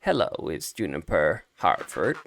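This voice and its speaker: Man reading as brisk, low-pitched game grandmother. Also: very animated, vocal fry, a bit bright, full character voice. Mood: secretive, businesslike. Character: elderly grandmother, brisk and businesslike, in a video game.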